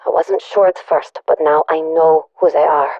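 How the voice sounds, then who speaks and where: A woman's voice: low-pitched, fairly even intonation, normal speed, dark tone, no room sound. An actress playing a wry, secretive German woman spy, confiding in a scripted video game audio log through a radio filter. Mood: grave, urgent. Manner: wry, confiding, dryly joking. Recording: dull lo-fi radio recording.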